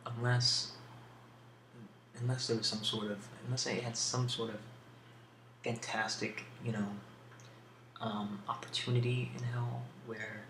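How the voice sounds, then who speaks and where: A soft-spoken man answering questions in a casual ASMR style, with a hesitant, musing delivery and pauses between thoughts. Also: medium pitch, flat delivery. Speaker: ASMR video creator answering a question tag. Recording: roomy echo with faint background hiss.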